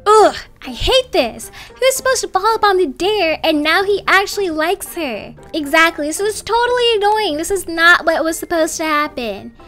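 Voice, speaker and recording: A woman voicing snobby, jealous schoolkids with a scornful, whiny manner in an animated roleplay story. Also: very high voice, moderate pace, hardly any pauses, soft background music, heavily acted. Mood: annoyed. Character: snobby, jealous mean school clique kids.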